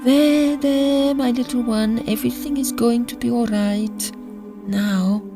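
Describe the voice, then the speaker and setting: Woman acting a high-voiced, tender young mother. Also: steady tone, slow pace. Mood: tender, soothing. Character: emotional, tender young mother freed from a spell. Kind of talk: audio drama acting. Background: music.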